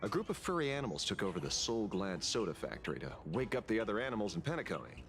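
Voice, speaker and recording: A man voicing an easygoing, wry detective in an adventure game, relaxed and conversational. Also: lowish voice, fast. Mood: amused. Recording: game music behind.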